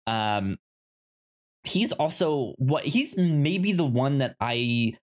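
A man co-hosting a casual discussion, speaking haltingly and stuttering on repeated sounds and words. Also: medium pitch, very animated. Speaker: podcast co-host. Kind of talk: casual chat, film discussion.

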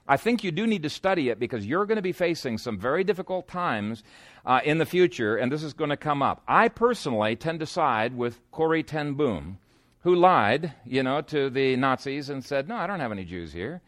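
A man's voice: on the high side, very animated, moderate pace, a bit bright, dry recording, clean studio sound. A man preaching an expository sermon in a conversational, explanatory way, moving between scripture reading and informal asides.

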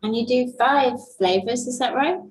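A woman's voice: fairly high pitch, normal speed, low-quality recording. A woman interviewer asking questions in a friendly, enthusiastic way.

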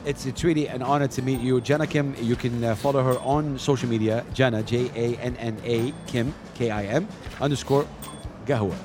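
A man hosting a live radio interview, upbeat and quick to encourage his guest. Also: medium pitch, fairly even intonation, noisy background. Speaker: radio host. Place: live radio booth at a fair.

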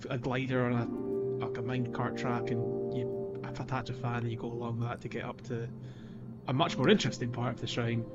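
A man's voice: medium pitch, flat delivery, a little fast, lo-fi sound, loud music behind the voice. A man co-hosting a gaming podcast in casual, conversational chat. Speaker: podcast co-host.

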